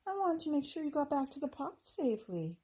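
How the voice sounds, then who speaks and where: A woman voicing a shy, lonely little male squirrel who talks in a squeaky voice. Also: very animated, brisk, mellow.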